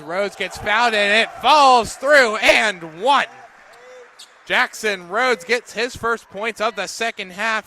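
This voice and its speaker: A man's voice: high-pitched, sharp tone, slight background noise. A man calling a live basketball game on radio as the play-by-play announcer.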